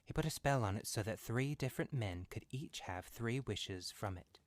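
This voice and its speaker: A man's voice: fairly low pitch, expressive, normal speed, close to an everyday voice. A man voicing a serious, reticent middle-aged soldier in a storybook reading. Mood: grave. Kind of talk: storybook character voice.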